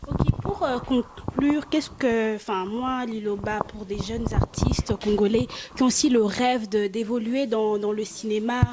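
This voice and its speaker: Chatty woman host, noisy recording. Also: a little high. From Belgium. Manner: chatty, fills pauses with euh. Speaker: interview host. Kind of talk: casual interview questions.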